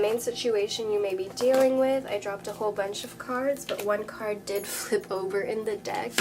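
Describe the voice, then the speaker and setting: A woman with a slightly nasal, congested voice giving a spoken tarot reading to her viewers, earnest and soothing, often dropping into a hushed whisper. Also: mid-range voice. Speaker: tarot reader. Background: background music.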